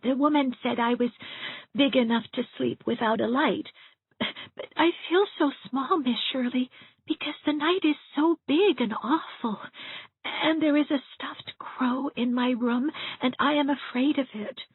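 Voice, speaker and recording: Woman voicing a plaintive, high-pitched little girl. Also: unhurried, slightly rough, dry recording, phone-quality sound, exaggerated voice. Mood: frightened, confiding. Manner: plaintive, confiding. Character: small, lonely, frightened, earnest little girl.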